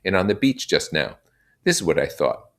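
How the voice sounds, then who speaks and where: A man's voice: low-pitched, sing-song, moderate pace, fairly bright tone, voice pushed up, exaggerated voice. A man voicing a confident, insistent boy leader who declaims to a group in a storybook reading. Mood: confident, rousing. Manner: emphatic, declaiming.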